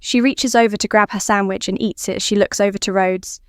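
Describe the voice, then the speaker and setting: Female story narrator for fan fiction, clear and smooth, mid-pitched, dry close sound. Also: fairly even intonation, brisk, dry recording.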